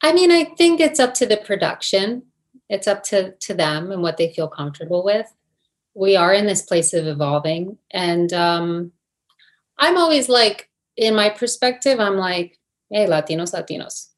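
A woman's voice: medium pitch, lively intonation, normal speed. A middle-aged woman from New Jersey, an actress answering interview questions in a thoughtful, hesitant way with many fillers and restarts. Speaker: actress, guest.

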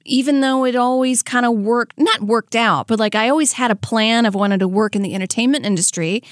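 A woman of about forty from the American South, co-hosting a podcast with quick, sardonic jokes and frequent laughter. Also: fairly high pitch, no echo, studio quality. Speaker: podcast co-host. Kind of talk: casual chat.

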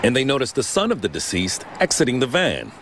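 A man's voice: mid-range voice, wildly swooping pitch, quick pace, a bit bright. A man giving a live television news report from outdoors on a city street. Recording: faint outdoor street noise.

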